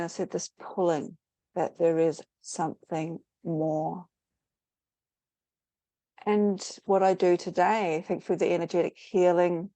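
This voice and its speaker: A woman's voice: on the low side, dark tone, no room sound. A middle-aged woman, a guest in an online interview, rambling thoughtfully with many fillers and restarts. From New Zealand. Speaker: interview guest, spiritual teacher.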